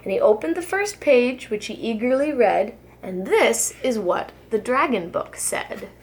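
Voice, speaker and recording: A woman, a preschool teacher, reads a children's storybook aloud in an expressive, sing-song rhyming delivery. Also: on the high side, unhurried, slight background noise.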